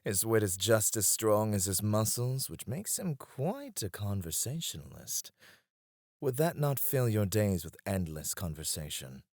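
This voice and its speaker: A man's voice: fairly low pitch, very animated, a little slow, a little mellow, no room sound, studio quality, heavily acted. A man playing a devoted, teasing royal advisor in a romantic ASMR roleplay, speaking in a formal, courtly way. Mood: teasing, persuasive.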